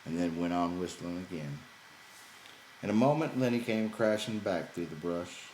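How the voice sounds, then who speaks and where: Low-voiced man narrating in an echoey room. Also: lively intonation, moderate pace, darkish tone. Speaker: audiobook reader. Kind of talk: scripted literary narration. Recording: roomy echo, faint background noise.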